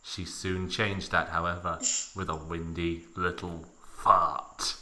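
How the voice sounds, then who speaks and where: A man's voice: low voice, flat delivery, slow pace, bright, forceful tone, slight background noise. A man narrating a comic dramatic reading in a mock-serious, theatrical manner with heavy emphasis.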